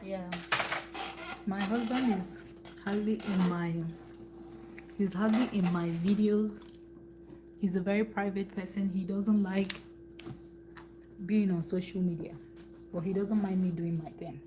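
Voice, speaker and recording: A woman's voice: mid-range voice, fairly even intonation, measured pace, pauses often. Chatty Nigerian woman, noisy kitchen. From eastern Nigeria. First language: Igbo. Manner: chatty, laughs often, talks while working. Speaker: cooking livestream host. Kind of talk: casual chat while cooking. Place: home kitchen. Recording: noisy room with hum.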